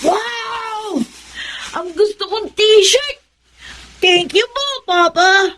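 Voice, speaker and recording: A woman voicing an excitable, polite small Filipino child in a radio drama, eager and exclaiming. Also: very high-pitched, very slow, full character voice. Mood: delighted, grateful.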